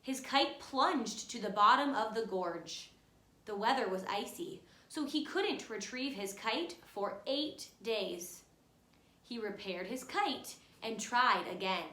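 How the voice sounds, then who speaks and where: A woman reads a children's picture book aloud in an echoey room. Her voice is fairly high and swings up and down in an animated way. She speaks as a teacher guiding young listeners along. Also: takes it slowly. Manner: expressive, instructive, addresses young listeners directly. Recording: roomy, echoey room sound.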